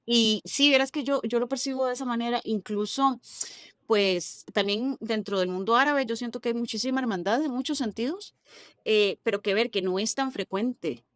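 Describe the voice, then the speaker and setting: A woman's voice: fairly high pitch, moderate pace, a bit bright, no room sound. Chatty Costa Rican woman, dry sound. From Costa Rica. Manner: chatty, uses local slang. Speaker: guest novelist. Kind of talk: casual interview conversation.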